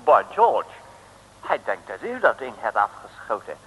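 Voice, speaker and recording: An actor playing a stuffy English gentleman who drops English exclamations into his Dutch, in a 1950s radio comedy. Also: on the low side, wildly swooping pitch, a little slow, slightly rough, exaggerated voice. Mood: fussy. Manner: clipped, fussy exclamations. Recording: old radio recording, long reverb.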